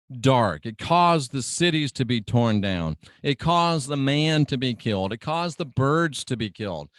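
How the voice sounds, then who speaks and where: A middle-aged man delivers an emphatic radio sermon at a slow, deliberate pace. He repeats key phrases dramatically, like a teacher driving a point home. His mid-pitched voice sits in a dry recording with no room sound. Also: no room sound. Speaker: radio preacher and show host.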